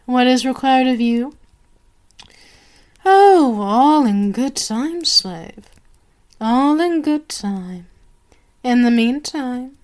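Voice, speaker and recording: A haughty vampire queen, acted out by a woman, addresses the listener in an ASMR roleplay. Her voice sits fairly high and moves very slowly. She is deliberate, commanding and condescending, as a mistress to her servant. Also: a little mellow. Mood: commanding, indulgent. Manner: slow, imperious, deliberate, condescending.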